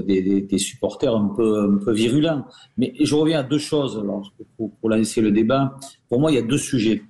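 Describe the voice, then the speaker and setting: Marseille-accented male pundit, mellow tone, forceful and repetitive, roomy studio. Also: mid-range voice. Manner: emphatic, repeats points. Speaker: football pundit. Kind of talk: radio debate.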